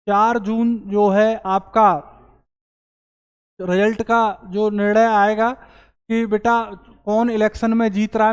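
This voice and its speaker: A man teaching as an exam coaching teacher, explaining recruitment news to students in an earnest, explanatory way and slowing down to stress his points. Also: high voice, clean tone, in a large hall.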